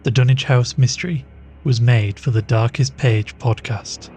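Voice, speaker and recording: A man's voice: medium pitch, fairly even intonation, a little slow. A man reading scripted credits as the host of an audio drama podcast. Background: music.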